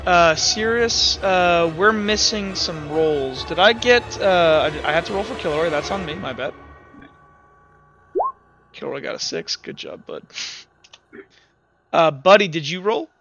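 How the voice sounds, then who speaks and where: A man's voice: a little high, expressive, a little slow, pauses often. A man speaking casually and informally as game master in an online tabletop game, thinking aloud as he goes. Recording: music behind the voice. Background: music.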